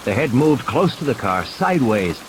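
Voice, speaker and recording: A man's voice: medium pitch, very animated, normal speed, heavy background noise. A man reading a thriller audiobook as a dramatic scripted narration. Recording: clear rain and storm effects behind. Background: rain, vehicle and ambience sound effects.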